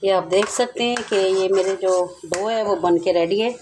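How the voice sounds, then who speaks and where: A woman at her home stove explains a recipe step by step, talking straight to her viewers in a loose, chatty, repetitive way. Her voice sits at a middle pitch, with a faint hiss and clinks of pots and pans behind it. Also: normal speed. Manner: chatty, repeats herself, addresses viewers directly. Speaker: cooking channel host. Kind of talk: home cooking tutorial, casual explaining. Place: home kitchen, over a stovetop.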